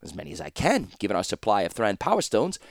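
A man's voice: on the low side, huge pitch swings, fast talker, creaky, no room sound, clean studio sound, full character voice. A man voicing an older male master artificer, amused and obsessed, speaking in a lofty, lecturing way.

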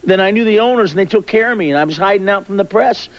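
A man speaks bluntly and emphatically as a talk-show guest comedian, stumbling over repeated words. His voice sits high, and the recording sounds dull and lo-fi, like old broadcast audio. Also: very fast. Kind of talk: casual talk-show chat.

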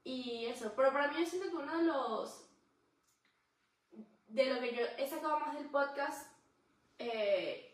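A woman's voice: high voice, measured pace, pauses often, recorded from a distance. A woman co-hosting a podcast in casual chat, rambling in long runs and laughing easily. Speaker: podcast co-host. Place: room where the podcast is filmed. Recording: very echoey room, mic set back.

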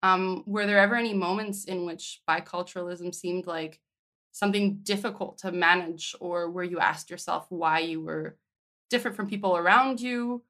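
A woman's voice: on the low side, fairly bright tone. A woman interview host speaking in a thoughtful, curious, conversational way. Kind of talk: conversational interview with scripted introduction.

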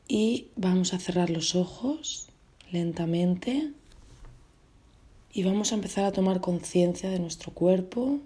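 Low, mellow woman guiding meditation. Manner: gentle, unhurried instructing in short phrases with pauses. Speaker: meditation guide. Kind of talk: guided meditation.

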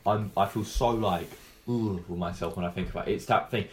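A teenage boy in a casual chat with friends, hesitant and stumbling over his words, quick to laugh. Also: low voice. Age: teens. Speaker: podcast co-host.

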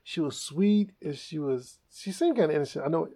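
Creaky-voiced male podcast host. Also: fairly high pitch, fairly quick. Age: adult. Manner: laughs often, animated storytelling. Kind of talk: casual comedic chat.

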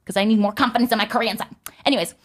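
A woman's voice: on the high side, fairly even intonation, very fast. A young woman telling a personal story to her viewers in a chatty way, full of "like" and "you know". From United States.

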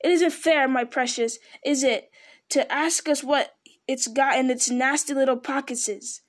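A woman's voice: sits high, fairly even intonation, measured pace. A woman voicing a slimy, wicked creature in a storybook, hissing its words, repeating itself and wheedling spitefully. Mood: upset hissing. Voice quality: hissing, sibilant. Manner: muttering repetitions, speaks of itself as "us".

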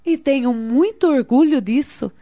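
A woman's voice: high voice, unhurried, mellow. A woman with a Brazilian accent playing a devout, proud spiritist in a radio soap opera, speaking in an earnest, formal, didactic way. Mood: polite, proud. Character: devout, proud, helpful spiritist daughter of a matron. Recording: dull lo-fi radio drama.

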